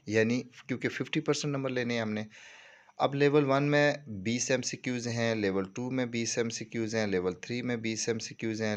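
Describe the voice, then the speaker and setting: A man with a Pakistani accent giving an informal tutorial walkthrough, explaining things step by step and often checking that the listener follows. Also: on the low side. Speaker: tutorial presenter.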